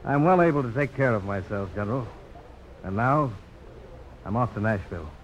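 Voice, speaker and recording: An actor playing a confident, daring frontier adventurer, firm and terse, in a 1950s radio drama. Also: male voice, on the low side, expressive, normal speed, natural voice. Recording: noisy old radio broadcast.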